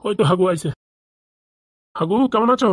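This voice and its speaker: A woman's voice: mid-range voice, moderate pace, no room sound, lightly acted. A woman voicing a gossipy, blunt, teasing village uncle in a comedy cartoon. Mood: chatty. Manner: chatty, needling, colloquial.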